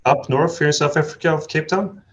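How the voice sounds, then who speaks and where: Relaxed Swedish man telling travel stories. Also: on the high side, brisk, highs cut off. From Sweden. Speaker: podcast guest. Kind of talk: casual chat.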